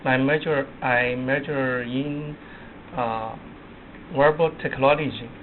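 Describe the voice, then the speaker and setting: A man's voice: medium pitch, steady tone, slow pace. A man introducing himself informally as a language student, speaking very hesitantly in broken phrases. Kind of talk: informal classroom self-introduction. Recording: muffled phone-like audio, faint noise.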